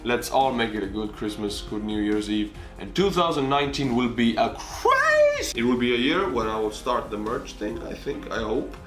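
Young man, thick Estonian accent, excitable vlogger, echoey small room. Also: medium pitch, moderate pace, few pauses, soft background music. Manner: animated, big hand gestures, bursts of excitement. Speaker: YouTuber. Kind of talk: casual vlog to camera. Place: small bedroom indoors. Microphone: camera on desk.

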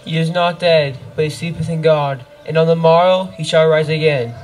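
Young man as a solemn missionary prophet, fairly high male voice, declaratory archaic lines, amateur pageant, faint music. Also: steady tone, moderate pace, darkish tone, close to an everyday voice, poor audio. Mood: solemn, assured. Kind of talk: amateur scripture pageant acting. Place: outdoor wooded stage. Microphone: handheld microphone.

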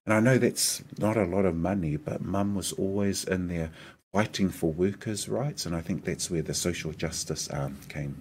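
Deep-voiced persuasive New man. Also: moderate pace, slight background noise. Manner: earnest, persuasive, animated hand gestures. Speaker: mayoral candidate guest. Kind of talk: conversational interview. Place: small studio with decorated backdrop.

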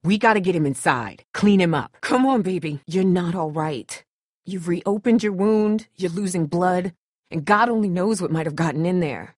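A woman's voice: lowish voice, animated, moderate pace, a little mellow, no room sound. A woman voice actor playing a guarded, blunt, protective young survivor of a zombie apocalypse in a video game, with a dry delivery. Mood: worried, pleading.

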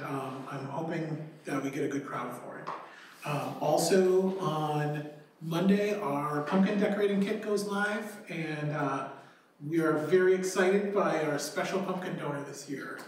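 A man giving a library report to a city council, halting and upbeat with many ums. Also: fairly high pitch, a little hiss or hum. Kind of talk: casual public report. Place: council chambers, large room. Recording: echoey room, far from the mic.

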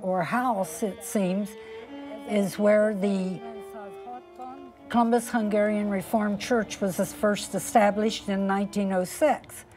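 Older woman speaking slowly and softly. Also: medium pitch, fairly even intonation, soft background music. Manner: slow, gentle explaining. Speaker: interviewee. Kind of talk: interview.

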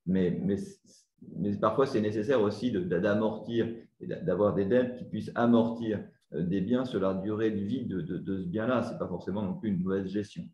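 A man speaking as a water agency official on a panel, explaining in a halting way with repeated words. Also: fairly low pitch, a little mellow, dull audio. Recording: echoey room sound.

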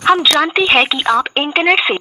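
A woman's voice: high voice, moderate pace, noisy background. A woman reading a recorded telephone service announcement, played through a phone speaker.

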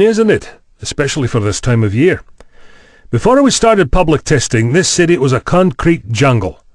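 An actor as a courteous university official in an audio drama, reassuring and formal. His voice is fairly high for a man and swings expressively, recorded very dry. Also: dry recording.

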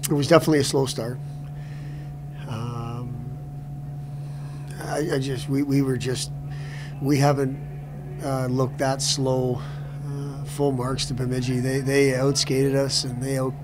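A middle-aged man, a hockey coach at a postgame press conference, answering seated, with halting speech that repeats words and restarts often. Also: mid-range voice, flat delivery, noisy background. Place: press room before a sponsor backdrop.

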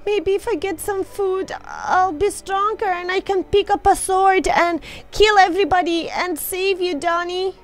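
A woman's voice: very high-pitched, fairly even intonation, measured pace, few pauses, faint music behind. A woman voicing a frail, earnest baby girl angel with a childlike, plaintive manner in improvised game roleplay. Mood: confiding.

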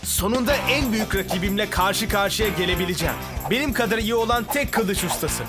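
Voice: mid-range voice, animated, fairly quick, natural voice. An actor voicing a boastful cartoon swordsman. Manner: boastful, showy. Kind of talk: Turkish cartoon dub. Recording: music behind.

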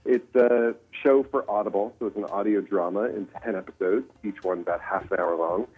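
A man's voice: on the low side, brisk, breathy edge. A man speaking as an interview guest over a muffled call-in line, explaining things in an easygoing way. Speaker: writer-producer, interview guest. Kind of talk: casual promotional interview. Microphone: remote call-in line. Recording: muffled lo-fi call, faint music.

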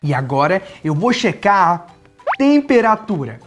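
A man's voice: high-pitched, very animated, measured pace. A young man with a Brazilian accent presenting a playful children's story as a toy doctor, speaking in simple, child-directed phrases. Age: young adult. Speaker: children's video host playing a toy doctor. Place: small furnished playroom studio, indoors. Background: background music.

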